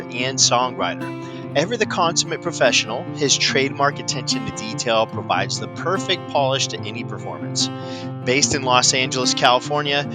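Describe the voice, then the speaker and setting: A man hosting a podcast, reading an upbeat intro and then chatting effusively with his guest, full of "you know"s. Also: mid-range voice. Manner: enthusiastic, effusive, rambling with many "you know"s. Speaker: podcast host. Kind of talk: scripted intro, then casual interview chat.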